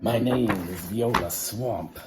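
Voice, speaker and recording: A man's voice: on the low side, takes it slowly. A man voicing a stern, menacing witch of a schoolteacher, a woman, with a scratchy, commanding delivery for a children's storybook. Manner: bossy, commanding.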